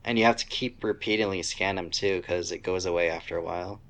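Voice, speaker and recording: A man's voice: sits low, steady tone, quick pace, a bit bright. A man co-hosting a podcast, chatting casually about video games in an informal, complaining way. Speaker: podcast co-host.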